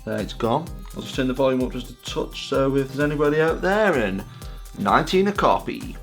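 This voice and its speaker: A man's voice: medium pitch, animated, fairly quick, gravelly, a bit bright. A British man talks in a loose, casual vlog style. His delivery rambles and has a self-deprecating streak. Music plays behind his voice. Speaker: vlog host.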